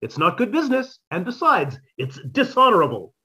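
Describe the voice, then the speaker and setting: A man acts a blustering adulterous lover in a radio farce. The voice is sharp and husky, arch and dismissive, with very lively intonation. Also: sits high, a little fast, exaggerated voice. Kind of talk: old-time radio comedy mystery acting.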